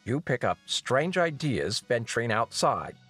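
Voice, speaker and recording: A man's voice: mid-range voice, sing-song, measured pace, a bit bright, breathy edge, soft background music, heavily acted. A man voicing a cold, stern king and father with an imperious manner.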